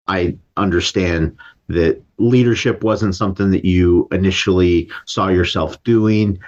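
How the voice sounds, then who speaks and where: Low-voiced male host, measured questions, slightly dull audio. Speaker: interviewer for a pharmacists association. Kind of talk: interview questions and comments.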